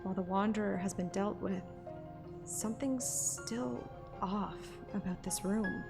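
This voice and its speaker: A woman's voice: medium pitch, a little slow, creaky, music in the background. A woman narrating a story like an audiobook, with casual streamer asides. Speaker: streamer reading a game story aloud.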